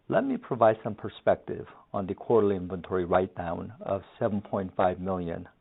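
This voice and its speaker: A man's voice: on the low side, vocal fry. A man working as a finance executive, reading a scripted financial presentation on an earnings call over a narrow phone line.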